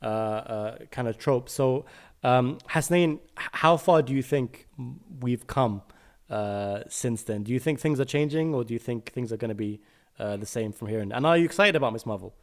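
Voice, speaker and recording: A man with a British accent hosting a podcast, asking questions thoughtfully with many pauses and fillers. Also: medium pitch. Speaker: podcast host. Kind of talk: casual podcast interview.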